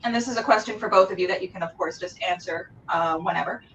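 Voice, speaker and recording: A woman's voice: on the low side, fast talker, fairly bright tone, a little hiss or hum. A woman hosting a casual, chatty interview, enthusiastic and approving in how she responds. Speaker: host interviewing a theater company. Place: remote video call. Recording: dull, distant-mic video call.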